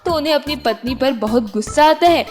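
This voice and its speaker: A woman's voice: sits high, fairly quick. A woman reading scripted narration for a children's moral story. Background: music.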